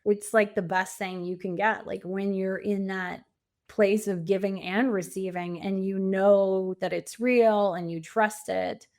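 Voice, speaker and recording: A middle-aged woman speaks as an interview guest in a medium-pitched voice. Her answers are conversational and reflective, with an earnest tone and emphasis on the words that matter to her. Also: fairly even intonation, a little slow, darkish tone. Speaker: podcast guest, coach and author.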